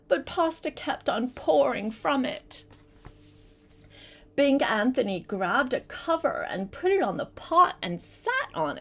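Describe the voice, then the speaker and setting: An older woman, a grandmother, reading a storybook aloud in an expressive way and chatting directly to a child as she goes. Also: a little high. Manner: animated, addresses the young listener with questions.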